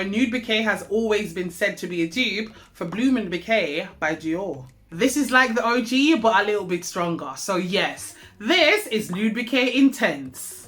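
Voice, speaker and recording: A woman's voice: medium pitch, fairly bright tone. A woman hosting a fragrance review vlog, chatty and stuffy-nosed from hay fever, often checking in with viewers. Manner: chatty, addresses viewers with tag questions. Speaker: perfume reviewer, channel host.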